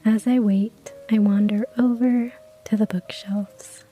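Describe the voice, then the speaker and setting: A woman narrating a cozy story in a hushed ASMR whisper, with soft laughs. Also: mid-range voice, fairly even intonation, slow pace, faint music behind, low-quality recording.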